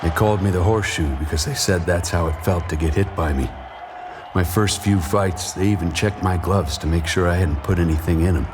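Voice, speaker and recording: An actor playing a weary, washed-up boxer who narrates his haunted past in a measured noir style. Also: deep voice, natural voice. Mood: weary, reflective. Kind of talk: brooding first-person noir narration in audio drama. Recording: music bed under the voice.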